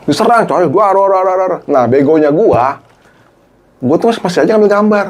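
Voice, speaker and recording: A man's voice: high voice, brisk. A middle-aged man, a former TV cameraman, telling a story in casual slang and acting out quoted dialogue. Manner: animated, acts out quoted dialogue, Jakarta slang.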